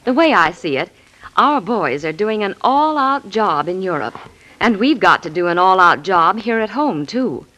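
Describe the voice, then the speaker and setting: An American woman delivers a scripted 1940s radio advertisement. Her voice sits at a middle pitch and moves up and down in a lively way, as in sponsor dialogue of that era. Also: moderate pace, rough recording. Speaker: sponsor spokeswoman.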